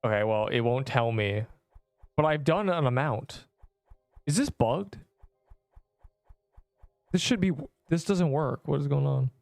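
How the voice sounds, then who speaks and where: Rambling young Canadian streamer. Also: male voice, mid-range voice, unhurried, creaky, long pauses, darkish tone, no echo. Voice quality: rambling, self-interrupting chatter. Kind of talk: casual live-stream chat while gaming.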